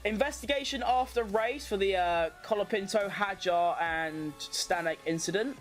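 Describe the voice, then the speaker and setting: A woman's voice: medium pitch, normal speed, soft background music. A woman hosting a watchalong, giving casual live motorsport commentary in an informal, slangy way, as if thinking aloud. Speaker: livestream watchalong host.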